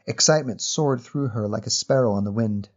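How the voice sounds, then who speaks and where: A man narrates a literary short story at a medium pitch. His delivery is measured and descriptive, clearly read from a script. The recording sounds slightly dull.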